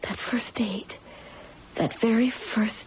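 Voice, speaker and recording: Quietly determined jealous woman, tinny radio. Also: on the high side, fairly even intonation, slow. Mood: accusing. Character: mild, lovelorn woman turned quietly determined jealous avenger. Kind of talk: old-time radio drama acting. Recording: noisy, tinny old radio recording.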